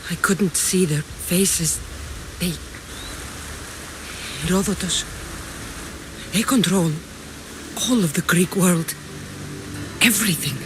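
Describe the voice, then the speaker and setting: A fierce young Greek mercenary. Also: female voice, on the low side, slow, long pauses, noisy background. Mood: grave, shaken. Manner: intense, clipped, defiant. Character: fierce young Greek mercenary woman, stubborn, protective of family. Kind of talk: video game cutscene acting. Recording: game music behind the voice.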